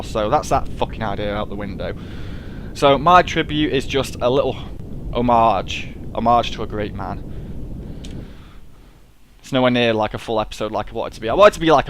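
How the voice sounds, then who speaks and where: A man's voice: mid-range voice, fairly bright tone, slight background noise. A man with a cold, casually rambling and swearing as he plays and talks through a space game at his computer. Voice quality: swears freely, rambling and chatty. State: sniffly with a cold. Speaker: gameplay commentator. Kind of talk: casual let's-play commentary.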